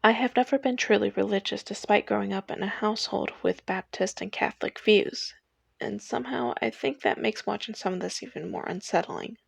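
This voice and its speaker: A woman's voice: medium pitch, a little fast, vocal fry, slightly rough, no room sound. A young adult woman narrating a scripted personal video essay.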